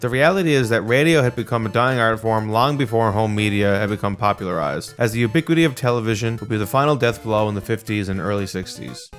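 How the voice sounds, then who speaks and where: A man's voice: on the low side, quick pace, hardly any pauses, soft background music. A man narrating a scripted video essay.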